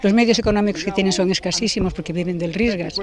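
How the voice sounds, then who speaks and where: A woman's voice: medium pitch, fast. Older woman, crowd chatter behind. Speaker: neighbor. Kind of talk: street interview. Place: outdoors in a garden. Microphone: handheld interviewer mic. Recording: outdoor interview with crowd behind.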